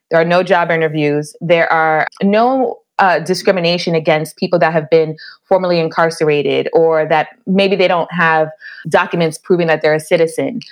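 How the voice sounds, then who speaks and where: Laughing New woman, low voice. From the Bronx, New York. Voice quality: laughs often, animated storytelling. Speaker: guest, professor. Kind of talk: conversational interview.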